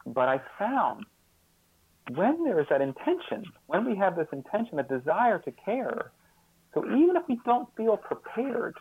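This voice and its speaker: A man's voice: sits high, very animated, normal speed, slightly rough. A man speaking as a guest expert in an interview, reflective and earnest, often repeating words as he thinks. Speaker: guest author and social scientist. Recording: muffled phone-like narrow-band line.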